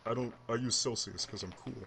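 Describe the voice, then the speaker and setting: A man from Atlantic Canada with a deep voice, chatting as a podcast guest in a dry, deadpan way. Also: fairly quick, creaky. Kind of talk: casual podcast chat. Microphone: computer microphone.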